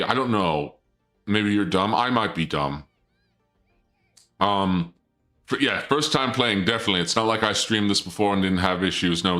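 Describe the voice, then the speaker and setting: A sniffly man with a low voice chats away to his viewers while streaming a game from home, tripping over his words as he goes. He hams up a mock dark-lord persona, and soft music plays faintly behind him. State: sniffly from a cold. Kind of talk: casual live-stream chat.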